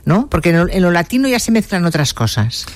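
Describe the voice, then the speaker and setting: A woman's voice: on the low side, sing-song, fairly quick, no room sound. A woman from Spain, chatting casually as an interviewer.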